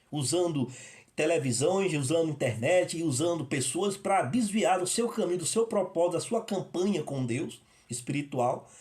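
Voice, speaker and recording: A man from northeastern Brazil preaches on prayer in an informal, home-recorded sermon. His voice sits somewhat high for a man. He rambles, circling back and repeating himself, often restarting his sentences. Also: darkish tone. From Northeast Brazil (Rio Grande do Norte). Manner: rambling, with many restarts and repetitions. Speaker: evangelist. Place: his apartment.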